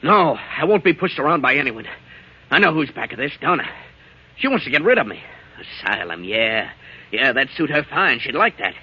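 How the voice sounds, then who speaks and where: A husky-voiced drunk in a vintage radio play. He is muddled, suspicious and sorry for himself, with pitch that lurches about as he protests. The recording is muffled and old. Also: very animated, moderate pace, bright, forceful tone, exaggerated voice. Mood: defiant, bitter. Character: drunken, suspicious, self-pitying man roused from a stupor. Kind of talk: 1940s radio drama acting.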